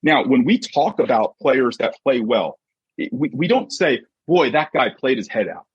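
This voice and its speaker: A husky-voiced man giving an emphatic, conversational motivational talk as a coach and podcast guest, often checking in with short rhetorical questions. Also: medium pitch, expressive, normal speed, no room sound. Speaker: podcast guest, sports mindset coach and author. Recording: dull, muffled lo-fi audio.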